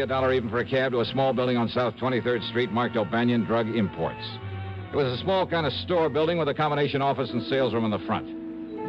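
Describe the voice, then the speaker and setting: A man's voice: medium pitch, lightly acted. A man playing a shrewd, casual private investigator who narrates his own case in a mid-century radio drama, breezy and wry. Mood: brisk narration. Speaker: lead actor of a radio detective series. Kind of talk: 1950s-60s radio drama acting and narration. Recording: dull old radio broadcast recording.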